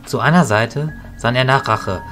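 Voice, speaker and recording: A man plays a knowledgeable mentor mage in a fantasy audio drama. He has a mid-pitched voice and explains things calmly and with measure. Music plays behind him. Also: normal speed, natural voice. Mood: grave recounting. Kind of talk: hobby audio drama storytelling.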